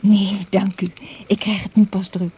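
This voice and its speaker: A woman's voice: medium pitch, level tone, measured pace, dark tone, lightly acted. An actress playing a spirited, persistent young woman in a Dutch radio drama. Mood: teasing, tender. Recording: noisy old radio play recording.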